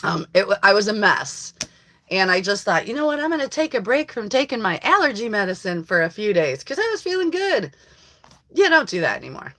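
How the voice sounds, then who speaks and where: A hoarse woman hosting a casual live stream from her country home, chatting to viewers in a rambling way and laughing often. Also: mid-range voice, very animated, fairly quick, fairly bright tone. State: hoarse from allergies. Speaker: livestream host. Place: rural home.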